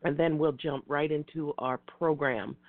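A woman hosting an internet radio talk show, slightly hoarse and raspy from a cold, speaking in a measured way with repeated greetings. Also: low voice, fairly even intonation, dry recording. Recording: tinny phone line.

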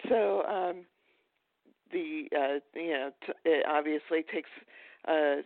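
Halting, higher-voiced man on a tinny line. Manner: hesitant, halting questions. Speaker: podcast host, interviewer. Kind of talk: casual interview. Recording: tinny phone-like line, roomy echo.

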